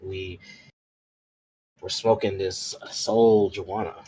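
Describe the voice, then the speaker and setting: A man's voice: low voice, level tone, slow pace, mellow, slight background noise, rough recording. A man playing a tabletop roleplay, improvising casually in a hesitant, meandering way. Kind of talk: casual in-character improvised chat.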